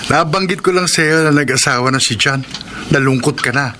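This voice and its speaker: A man's voice: a little high, normal speed, sharp tone, slight background noise. A man playing a teasing, nosy school security guard in a Filipino radio drama, with a Filipino accent.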